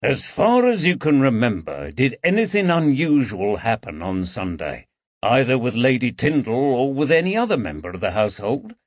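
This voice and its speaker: Gentle constable, voiced by a man, coaxing, lively intonation, muffled recording. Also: mid-range voice, moderate pace, no echo, close to an everyday voice. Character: gentle, patient male police constable. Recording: dull, dry audiobook recording.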